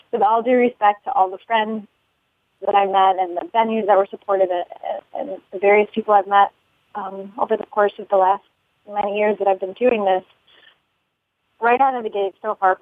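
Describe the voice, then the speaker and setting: A chatty woman calls in over a tinny phone line, her voice dry and boxed in. She rambles, circles back on herself and laughs often, with a pitch sitting in the middle. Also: steady tone, moderate pace, no room sound. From United States. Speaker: musician guest. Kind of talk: phone interview. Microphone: telephone.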